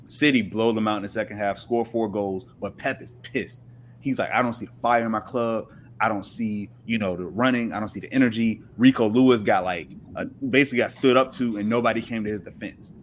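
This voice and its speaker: A man's voice: fairly low pitch, fairly quick, slight background noise. A man speaking as a podcast guest in casual sports chat, telling and explaining stories colloquially and checking in with listeners. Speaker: podcast guest discussing football.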